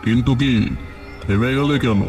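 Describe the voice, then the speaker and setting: A man's voice: medium pitch, normal speed, music in the background, natural voice. A man playing a fearless, conscientious postman in a horror cartoon story, with faint background noise. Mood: puzzled. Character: fearless, conscientious male postman recounting a ghostly memory. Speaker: postman character. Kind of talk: Bengali horror audio story acting.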